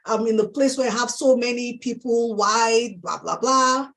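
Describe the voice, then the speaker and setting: Woman, Nigerian accent, host, animated, affirming, laughs often, video call. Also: fairly high pitch, moderate pace. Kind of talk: live interview chat.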